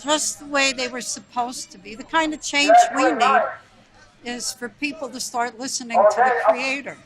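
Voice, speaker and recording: A woman's voice: sits high, moderate pace, over a noisy crowd. A woman speaking out in a preachy, insistent protest style. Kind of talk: public protest speech. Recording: loud music over speech.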